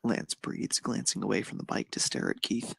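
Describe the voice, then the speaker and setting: A man's voice: fairly low pitch, normal speed, gravelly, fairly bright tone, husky, no room sound, clean studio sound. A man reading scripted narration of a romantic fan fiction story.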